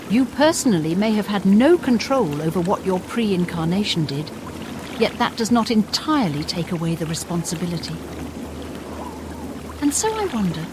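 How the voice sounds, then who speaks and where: A woman performs a solemn, wounded female figure from a fantasy game. She speaks formally and accusingly, with swooping, expressive intonation. A clear wash of noisy ambience sits behind the voice. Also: medium pitch. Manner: formal, deliberate, rhetorical. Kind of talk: scripted fantasy game dialogue.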